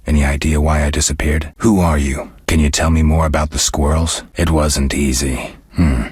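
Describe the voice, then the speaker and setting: A man playing a stoic, world-weary middle-aged monster hunter in a video game, speaking in a gravelly, husky voice with dry, laconic restraint. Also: a bass voice, no room sound, full character voice. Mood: flat, resolute. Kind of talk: scripted video game character dialogue.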